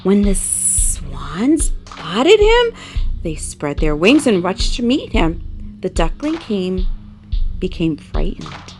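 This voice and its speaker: A woman tells a children's story in a dramatic, emphatic read-aloud style. Her pitch moves widely and her pace is unhurried. Soft music plays behind the narration. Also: huge pitch swings.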